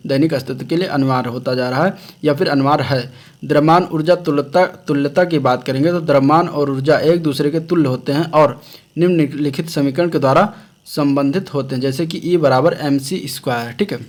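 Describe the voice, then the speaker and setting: A man teaching science for exam preparation, reading from a textbook and explaining it in an instructional way with frequent check phrases. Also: on the high side. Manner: instructional, often adding a check phrase after points. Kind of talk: lecture read from a textbook with explanations.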